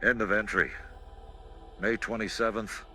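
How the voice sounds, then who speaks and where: An actor playing a weary, frightened middle-aged farmer recording a halting, anguished diary entry in a radio drama. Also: male voice, fairly low pitch, fairly even intonation, sharp tone, slight background noise. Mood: despairing. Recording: music behind the voice. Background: background music.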